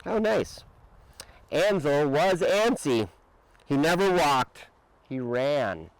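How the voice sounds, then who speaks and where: Slow-paced man reading a children's picture book. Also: on the high side, poor audio. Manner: expressive, dramatic emphasis with drawn-out words. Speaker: storybook reader.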